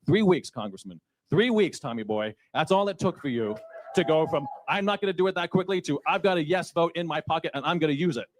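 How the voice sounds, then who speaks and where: A man's voice: a little high, lively intonation, a little fast, a little hiss or hum. A man speaking as a constituent at a town hall, angry, sarcastic and confrontational, into a handheld microphone. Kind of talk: public comment speech. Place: public meeting hall.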